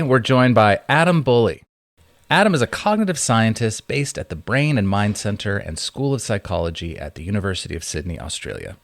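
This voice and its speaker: A male podcast co-host who sounds upbeat and energetic while introducing a guest. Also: lowish voice, no echo, clean studio sound. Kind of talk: casual chat and guest introduction.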